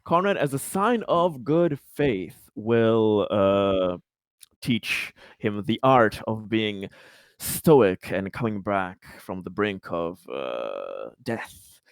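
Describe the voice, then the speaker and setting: A man's voice: fairly low pitch, expressive, slow, no echo, clean studio sound. A man chatting casually as a player in a tabletop roleplaying game, wordy and jokey, swearing freely.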